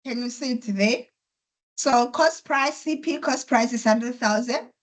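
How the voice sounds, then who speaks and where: A woman's voice: a little high, measured pace. Woman teaching with a flu-affected voice. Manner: patient, step-by-step explaining with repetition. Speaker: accounting tutor. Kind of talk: online lecture. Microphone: computer mic on a video call.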